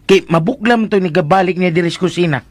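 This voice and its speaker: High pitched filipino houseboy, radio drama, teasing banter, close dry sound. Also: male voice, quick pace, no echo. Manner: bantering, exclaiming. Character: teasing, cheeky male household helper. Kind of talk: Philippine radio drama comic acting.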